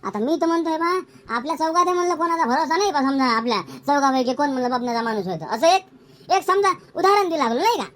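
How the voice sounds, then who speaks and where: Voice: sits high, animated, fast talker, a bit bright. A performer voicing a fretful, distrustful cartoon villager who keeps questioning, with a rural Varhadi Marathi accent. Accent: rural Maharashtra, Varhadi Marathi. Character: anxious, cautious villager in a comic cartoon. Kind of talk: comic cartoon dialogue.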